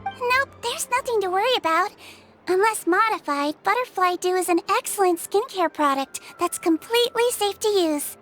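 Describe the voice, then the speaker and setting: Sweet little melusine nurse girl, super high squeaky voice, soft and calm, game cutscene. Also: moderate pace, faint music behind. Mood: cheerful. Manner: soft, calm and kindly reassuring. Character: gentle young female melusine nurse. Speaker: head nurse.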